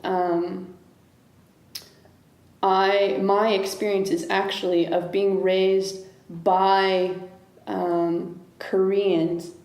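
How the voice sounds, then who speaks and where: A woman's voice: medium pitch, little pitch movement, extremely slow, darkish tone. A woman, a guest in an interview, talking things through thoughtfully and often restarting her sentences. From United States. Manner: thoughtful, searching for words, many restarts. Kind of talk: reflective interview conversation. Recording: echoey room.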